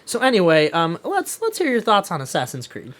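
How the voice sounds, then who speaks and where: A man's voice: sits high, huge pitch swings, brisk, a bit bright. Opinionated man co-hosting a casual podcast. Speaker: podcast co-host. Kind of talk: casual pop-culture discussion.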